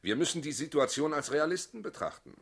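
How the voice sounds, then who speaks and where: An actor playing a haughty, pragmatic aristocratic politician in a German radio drama, formal and coolly cynical. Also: male voice, on the high side, animated, quick pace, gravelly, fairly bright tone, husky, exaggerated voice.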